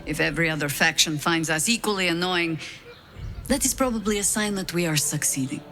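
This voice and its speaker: A woman's voice: lowish voice, sharp tone, soft background music. A wry, weary veteran adventurer woman. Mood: dry amusement. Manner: wry, measured, self-deprecating. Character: weary, wry veteran high-ranking woman harper agent. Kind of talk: video game dialogue acting, reflective storytelling.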